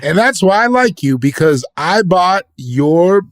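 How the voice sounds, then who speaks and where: A man's voice: sits high, big pitch swings, measured pace, no room sound, studio quality. A man co-hosting a podcast, trading casual comedic banter in a joking, informal way. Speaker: podcast host.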